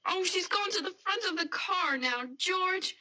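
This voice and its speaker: An anxious young bride-to-be in a radio mystery play. Her voice is high-pitched and bright. She speaks earnestly, with a soft-hearted, imploring manner. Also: animated, unhurried, full character voice. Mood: sympathetic. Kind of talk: radio mystery drama acting.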